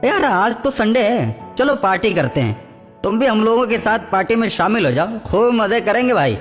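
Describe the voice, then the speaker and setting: A man's voice: high voice, very animated, moderate pace, voice pushed up. A man voicing a pushy, mock-friendly young college bully in a cartoon horror story. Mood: coaxing. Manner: coaxing, pushy. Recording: long hall reverb, music behind.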